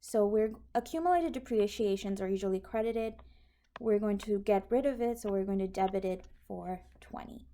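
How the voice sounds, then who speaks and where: A woman's voice: mid-range voice, moderate pace, dark tone. A woman instructor explaining accounting in an informal, step-by-step tutorial style. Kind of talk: explanatory tutorial over handwritten notes. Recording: clearly noisy recording with clicks.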